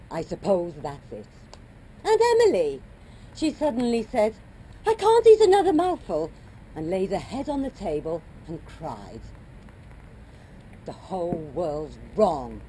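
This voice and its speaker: A woman's voice: fairly low pitch, very animated, a little slow, long pauses, mellow, a little hiss or hum, rough recording. A woman playing a tearful, wistful mother of the bride. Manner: wistful, teary musing.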